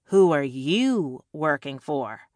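A woman's voice: low voice, animated, normal speed, dry recording. A woman voicing a defiant, self-assured captive female agent in an audiobook, with a dry, sardonic manner.

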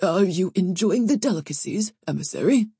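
A woman's voice: medium pitch, lively intonation, moderate pace, mellow, slightly rough, no echo, clean studio sound. Woman as an unctuous, pushy palace lord. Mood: ingratiating. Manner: unctuous, formal, insistent. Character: smooth, pushy male palace lord, obsequious host. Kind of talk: audiobook character voice.

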